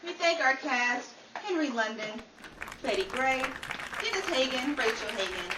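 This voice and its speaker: A woman's voice: high voice, expressive, unhurried. A woman playing an announcer on a 1940s-style radio program, delivering the show's sign-off and credits. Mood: showy sign-off. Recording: roomy, distant, noisy live audience crowd.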